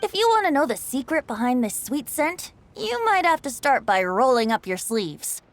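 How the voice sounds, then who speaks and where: An actor voicing a playful young bard who speaks in a lilting, singsong way in a game. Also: male voice, extremely high pitch, big pitch swings, moderate pace, a little hiss or hum, full character voice. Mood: gentle. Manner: lilting, singsong, theatrical sighs. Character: playful young male bard, carefree and teasing.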